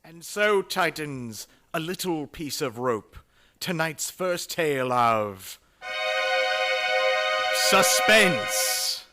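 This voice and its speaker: A man's voice: on the high side, lively intonation, drawn-out words. A man narrating as a dramatic announcer in the style of old-time radio suspense. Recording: loud music under the voice.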